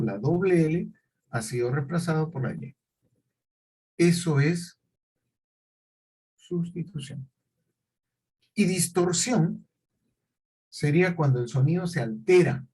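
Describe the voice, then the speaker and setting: Man, middle-aged, lecturing, a bit husky, higher voice. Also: darkish tone, low-quality recording. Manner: questions students often. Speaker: teacher. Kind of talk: classroom lecture.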